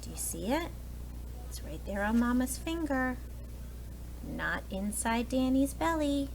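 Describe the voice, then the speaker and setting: A woman's voice: a little high, big pitch swings, unhurried, vocal fry, slight background noise. A woman reading a children's storybook aloud expressively, like a preschool teacher addressing young listeners. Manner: explains words and asks listeners questions.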